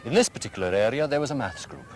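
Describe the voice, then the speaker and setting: A middle-aged Welsh headmaster answering interview questions in a measured, explanatory way, giving plenty of examples. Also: male voice, mid-range voice, animated, a little hiss or hum. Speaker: school headmaster. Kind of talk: archive community TV interview answers.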